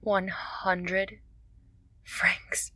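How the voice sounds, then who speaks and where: An actress playing a poor, dying young mother in a radio drama, speaking in a slow, strained and pleading way. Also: mid-range voice, level tone, bright, forceful tone, dry recording. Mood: desperate.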